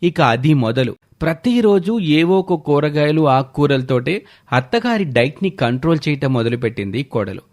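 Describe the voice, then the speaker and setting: Casting: male storyteller for scripted moral-tale narration, voice on the higher side. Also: very animated, moderate pace, a little mellow.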